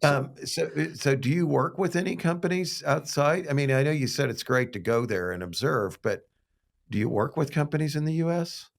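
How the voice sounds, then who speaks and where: A man co-hosting a business podcast, relaxed and conversational. He nods along with quick agreements and throws in the odd joke between questions. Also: fairly high pitch, creaky. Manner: easygoing, brief agreeing interjections. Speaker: podcast co-host. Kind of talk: interviewing, casual chat.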